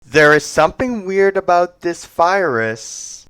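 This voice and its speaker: A man's voice: high voice, expressive, takes it slowly, fairly bright tone, clean tone, heavily acted. A man playing a skeptical medical researcher in an amateur zombie outbreak audio drama. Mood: skeptical, concerned.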